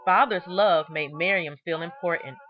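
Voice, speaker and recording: A woman reading a children's biography aloud in an expressive, deliberate storytelling manner, stressing key words. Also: on the low side. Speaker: storybook reader.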